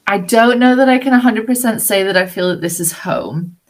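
A woman's voice: medium pitch, brisk. A British woman who works as a women's mentor, talking as a podcast guest in a reflective, rambling way, thinking aloud with fillers. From British, raised partly abroad. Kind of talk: casual interview chat. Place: farm home in a village.

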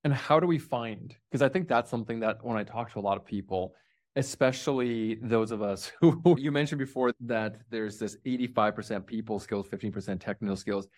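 An engaged interview host asks thoughtful, reflective questions. Frequent murmurs of agreement fill the gaps between questions. The voice sits at a middle pitch. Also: a little fast, a little mellow. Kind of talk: conversational interview.

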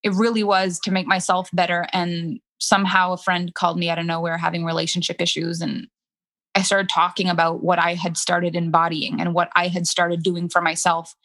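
A woman's voice: lowish voice, little pitch movement, brisk, a bit bright. A woman in her thirties with a French Canadian accent, a French native speaker working as a coach, telling her story candidly in a casual interview, full of "like" fillers. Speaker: business coach.

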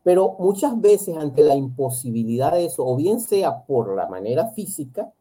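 A man's voice: a little high, big pitch swings, normal speed, dark tone, breathy edge. A man, a psychologist, talking reflectively in an interview, often restarting and repeating words. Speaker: psychologist guest. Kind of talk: interview conversation.

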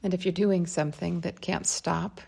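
A woman leading a guided meditation in a calm, gentle, unhurried way, with a breathy voice that softens toward a whisper. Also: fairly low pitch. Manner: calm, unhurried, gentle phrasing with long pauses. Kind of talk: guided meditation, slow and soft instruction.

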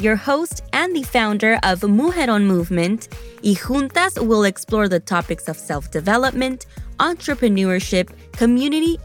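A woman hosting a podcast, upbeat, dropping Spanish phrases into her English. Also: mid-range voice. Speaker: podcast host. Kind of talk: scripted podcast intro and interview.

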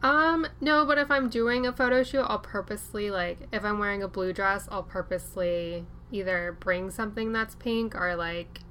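A woman's voice: mid-range voice, moderate pace, few pauses, slight background noise. Casual young woman hosting a podcast. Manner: casual, relaxed, peppered with "like". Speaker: podcast host. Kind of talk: podcast interview.

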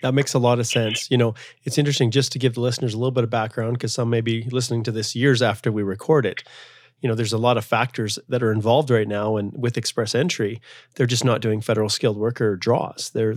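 A man's voice: medium pitch, no echo, clean studio sound. A man from Canada hosting a podcast interview as an immigration lawyer, talking in a chatty, rambling way with many restarts. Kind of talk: casual interview chat.

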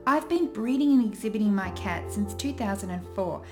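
A woman's voice: mid-range voice, animated, fairly quick. A woman with an Australian accent reading a scripted, welcoming podcast introduction. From Western Australia. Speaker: podcast host. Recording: intro read over music.

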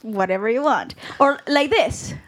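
A woman's voice: a little high, expressive, measured pace, poor audio. A woman from Ecuador with a Latin American Spanish accent, a guest chatting casually and laughing often, mixing in Spanish words. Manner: laughs often, switches between English and Spanish. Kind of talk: casual chat.

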